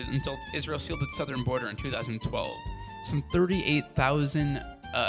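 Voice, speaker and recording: A man's voice: medium pitch, creaky. A man hosting a podcast, reading a scripted introduction in an upbeat, announcer-like way. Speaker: podcast host. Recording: music bed behind voice. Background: guitar music.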